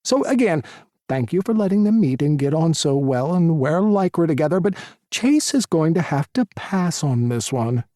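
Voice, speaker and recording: A man's voice: fairly high pitch, sing-song, moderate pace, dark tone, dry recording, studio quality, pitched above the performer's own voice. A man voicing a sassy, flamboyant young male veterinarian in his twenties, camping it up on a mock phone call. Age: 20s.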